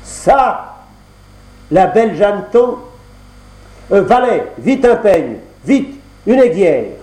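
A man voicing a furious young prince who shouts harsh orders, in an echoey room. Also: a little high, sing-song, measured pace, pitched above the performer's own voice.

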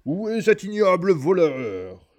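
A man voicing a greedy male ogre giant who roars slowly and loudly. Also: sing-song, exaggerated voice. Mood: furious. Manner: slow booming roar.

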